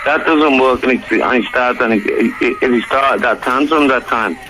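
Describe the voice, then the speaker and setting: A man's voice: medium pitch, normal speed, music in the background, rough recording. A man with a Dublin Irish accent phoning a radio show, arguing in blunt, colloquial language. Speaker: phone-in caller. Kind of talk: heated informal argument. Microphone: telephone.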